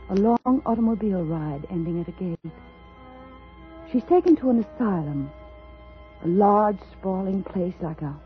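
An actress playing an unstable woman telling a story in an intense, halting, dramatic 1950s radio style. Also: fairly low pitch, phone-quality sound, close to an everyday voice. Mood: brooding, halting. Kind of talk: 1950s radio suspense acting. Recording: thin vintage radio recording with music.

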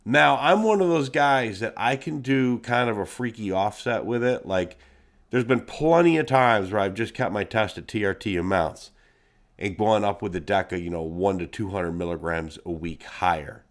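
Man, podcast host, nasal and congested, blunt, profane, fairly low voice. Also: normal speed. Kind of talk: casual solo podcast monologue.

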